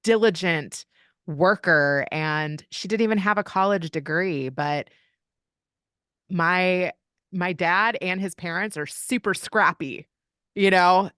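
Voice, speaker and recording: Low voiced kentucky woman, chatty podcast guest, dry close sound. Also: slow pace, fairly bright tone, clear, resonant tone, dry recording. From Kentucky, United States. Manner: chatty, open storytelling. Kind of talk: casual interview conversation.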